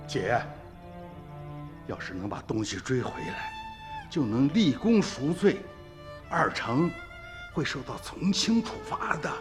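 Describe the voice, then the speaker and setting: A man's voice: mid-range voice, huge pitch swings, slow, pauses often, music in the background. An actor playing an upright middle-aged rural man in a radio drama, earnest and emphatic, insisting on doing things by the law. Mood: exasperated. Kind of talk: Chinese radio drama acting.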